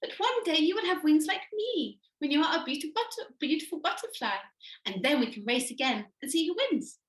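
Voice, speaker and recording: A woman's voice: sits high, expressive, a little fast, echoey room, voice pushed up. A woman voicing a good-natured little female garden fairy in a storybook. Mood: kind.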